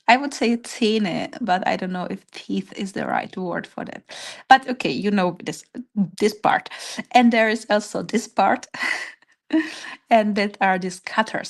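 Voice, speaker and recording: Slow-talking Czech woman teaching crafts. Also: mid-range voice. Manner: searches for English words, hesitant phrasing. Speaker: craft workshop instructor. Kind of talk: informal live step-by-step tutorial.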